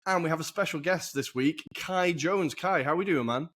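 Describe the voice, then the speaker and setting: A young man hosting a casual football podcast over a video call, chatty and enthusiastic with quick asides. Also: a little high, fairly bright tone. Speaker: podcast host. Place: humid room in a city flat.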